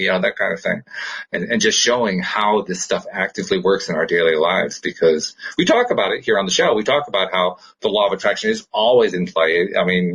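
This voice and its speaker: Male host, very low and husky, earnest explainer in an informal chat style. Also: fairly bright tone, rough recording. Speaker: podcast host. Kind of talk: casual conversational podcast chat.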